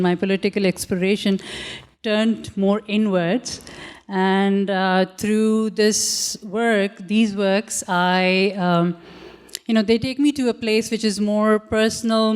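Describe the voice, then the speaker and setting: A woman artist whose first language is Urdu, speaking reflectively on a panel in a large auditorium, pausing often with fillers and restarting sentences. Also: mid-range voice, smooth. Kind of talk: panel talk about her art.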